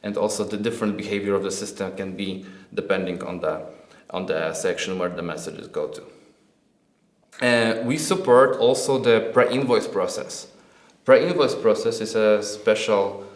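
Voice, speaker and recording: Echoey, lower-pitched man presenting software. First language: not English. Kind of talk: product demo presentation. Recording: echoey, roomy sound.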